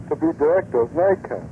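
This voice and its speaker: A man calling a vintage radio show by telephone, talking casually in a halting, sceptical but persistent way. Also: fairly high pitch. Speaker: phone-in caller. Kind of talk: casual opinion on a radio call-in. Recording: tinny phone line on vintage radio, noisy.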